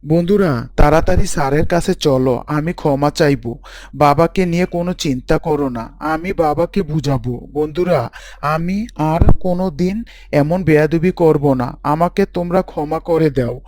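A man's voice: a little high, animated, normal speed, darkish tone. A man voicing a privileged schoolboy, a powerful father's son, in a scripted moral story drama. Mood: remorseful. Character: powerful man's son, schoolboy turning repentant.

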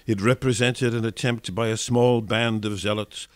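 A man's voice: lowish voice, steady tone, normal speed, no echo. A man narrating scripted history for radio in a formal, expository manner.

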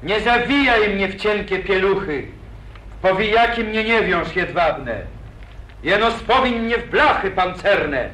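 An actor playing a boastful young hero of an old epic, declaiming verse with pathos in 1940s radio acting. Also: male voice, high voice, animated, measured pace, pushed and bright, full character voice. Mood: commanding. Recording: echoey old radio recording.

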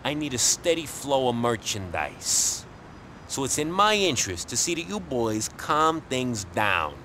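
An actor playing a sneering, world-weary middle-aged New York mobster in a video game cutscene, wisecracking and condescending. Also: male voice, big pitch swings, a little slow, bright, forceful tone. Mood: irritated, bossy. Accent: New York area. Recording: noisy outdoor city street rumble.

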